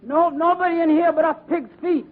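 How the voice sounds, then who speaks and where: A man's voice: extremely high pitch, fairly even intonation, brisk, over a noisy crowd, heavy background noise. An actor playing a naive, cheeky young mama's boy in a vintage radio comedy, eager and innocent. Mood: cheeky innocence. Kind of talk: 1940s radio comedy acting. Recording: vintage radio, narrow band, audience behind.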